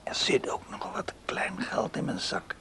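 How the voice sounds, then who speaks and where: An actor playing a frail, bedridden old father in a radio play, breathy and halting, weary and gently resigned. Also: natural voice. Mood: tender, generous. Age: older. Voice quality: breathy, whispery, creaky.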